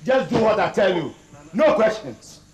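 A man's voice: sits high, very animated, moderate pace, a little mellow, distant mic, a little hiss or hum. A man playing a domineering, short-tempered Ghanaian household chief in a film drama, with a Ghanaian English accent. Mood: angry, commanding. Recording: very echoey room, off-mic, music behind.

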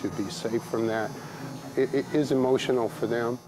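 A man's voice: medium pitch, steady tone, moderate pace, noisy background. An older man, a retired nurse, giving an interview in a large convention hall, speaking thoughtfully with hesitant fillers. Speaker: vaccination site nurse.

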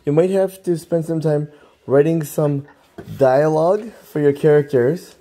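A father talking calmly at home, gently giving his children advice. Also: fairly high pitch, unhurried. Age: adult. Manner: calm, gently instructive. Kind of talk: casual family chat. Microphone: camera he is holding.

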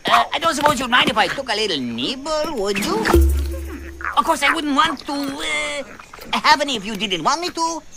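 Small excitable cartoon creature, high and swooping, pleading its innocence. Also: normal speed, creaky, exaggerated voice. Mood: nervous wheedling. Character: frantic, wheedling little forest animal protesting innocence. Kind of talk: cartoon dub acting. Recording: music behind the voice.

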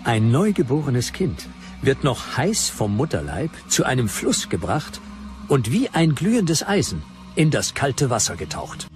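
A man voicing an ancient chronicler in a documentary, reciting reports in a measured, declamatory way. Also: medium pitch, huge pitch swings, music in the background. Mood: solemn, declamatory. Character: ancient chronicler quoting reports on barbarian customs. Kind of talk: dramatized documentary quotation.

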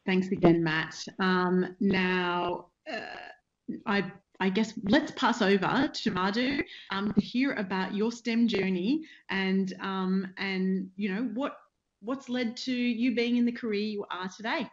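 A woman's voice: medium pitch, fairly even intonation, slow, highs cut off. A woman moderating an online student forum, upbeat and full of fillers, reading out questions and handing over to speakers. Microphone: webcam on a video call.

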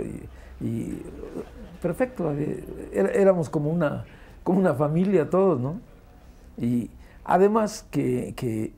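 An older Mexican man reminisces casually in an interview. His voice is fairly high, gravelly and mellow, and he often repeats words as he goes. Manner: stammering repetitions. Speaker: comedian being interviewed. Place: indoors, seated interview.

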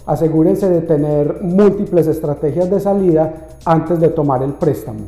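A man in his thirties seated at a desk in a bright loft office, explaining financing steadily to the camera with frequent restarts and fillers. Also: fairly high pitch, reverberant. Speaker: real estate investor.

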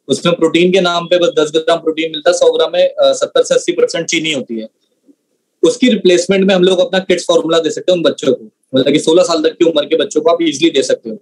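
A man's voice: fairly high pitch, poor audio. A young man explaining as a trainer on an online session, mixing in many English words. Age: young adult. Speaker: trainer answering product questions.

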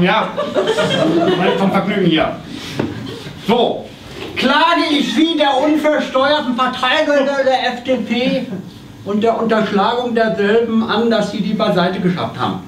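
Casting: pompous male bureaucrat played by a man, legalistic tax sleuth for live comedy, high voice, recorded at a distance. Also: expressive, unhurried, a bit bright, full character voice. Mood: officious. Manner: officious, legalistic, hesitating. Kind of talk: live comedy radio play on stage. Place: small theatre stage. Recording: distant camera in echoey theatre, noisy.

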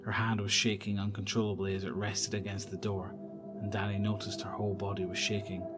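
A man narrating a horror audio drama in a measured, descriptive storytelling manner. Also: lowish voice, flat delivery, over music.

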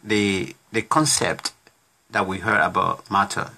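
A middle-aged man hosting a casual interview show from a small home studio, talking in halting runs with many restarts. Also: low-pitched, fairly even intonation, vocal fry, sharp tone, slight background noise, low-quality recording. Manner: halting, many restarts, plays devil's advocate. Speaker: talk show host. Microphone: studio condenser mic on desk.

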